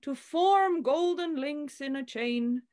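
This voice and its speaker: A woman playing a solemn, forgiving rabbi in an amateur play reading over a video call, with deliberate, declamatory delivery. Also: high voice, darkish tone.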